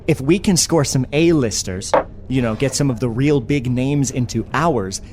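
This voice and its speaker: A man playing a self-satisfied screenwriter pitching sci-fi, talking in a rambling, earnest, conversational way about absurd ideas. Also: normal speed, lightly acted. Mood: self-assured. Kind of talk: improvised-sounding mock meeting, conversational. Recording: noisy room ambience.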